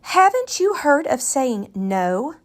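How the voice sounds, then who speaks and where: A woman's voice: sits high, big pitch swings, takes it slowly, pitched above the performer's own voice, heavily acted. Lady doing a meddling neighbour woman from a picture book, know-it-all, scolding a bit, lilting. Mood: chiding. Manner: chiding, knowing.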